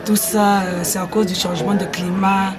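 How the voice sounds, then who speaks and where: A woman leading an association, hoarse from a cold, answering an interviewer politely and formally. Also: medium pitch, flat delivery, normal speed, a loud crowd. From Democratic Republic of the Congo. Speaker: association president. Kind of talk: visit chat and interview answers.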